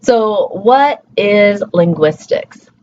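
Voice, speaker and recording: A woman who teaches college, giving a casual recorded lecture, full of "um"s and quick self-corrections. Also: mid-range voice, animated, a little mellow. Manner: casual and chatty, with many fillers and self-corrections. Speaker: college instructor. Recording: slightly muffled, faint hiss.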